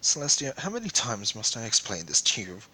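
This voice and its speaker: A man's voice: lowish voice, huge pitch swings, brisk, bright, forceful tone, husky, full character voice, rough recording. A man voicing a stern, condescending royal pony mother in a story reading, scolding and imperious. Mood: frustrated.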